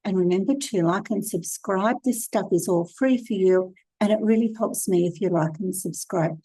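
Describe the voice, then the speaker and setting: A hoarse woman, hosting a casual screen-share tutorial and giving chatty, direct, practical advice. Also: medium pitch, normal speed, dark tone, no room sound, low-quality recording. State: hoarse from a cold. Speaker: e-commerce consultant and channel host.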